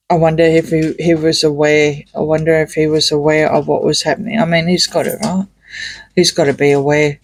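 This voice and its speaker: A man with a croaky voice, doing a rambling, hesitant tarot reading full of fillers and restarts. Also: fairly high pitch, no echo, poor audio. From Australia. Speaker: tarot reader. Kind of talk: casual tarot reading monologue.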